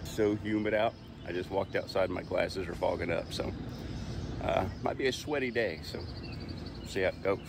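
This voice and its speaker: A man's voice: low-pitched, moderate pace, background noise. A middle-aged man chatting upbeat to a phone camera at arm's length outdoors, hosting a casual RV travel vlog. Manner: upbeat, easygoing narration to camera. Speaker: RV travel vlogger. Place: outdoors on an island, streets, patios and trails.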